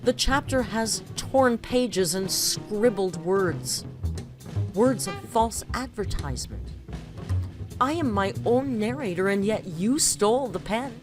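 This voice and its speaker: A woman's voice: mid-range voice, lively intonation, slow pace, over music. A woman voicing a bitter, wounded female poet reciting melodramatic spoken-word verse with heavy dramatic emphasis. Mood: wounded, defiant.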